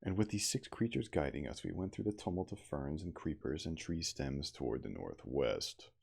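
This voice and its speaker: A man reading a story aloud in a hushed ASMR style, with casual asides. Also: extremely low pitch, expressive, moderate pace, creaky, slightly rough. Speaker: reader, livestreamer.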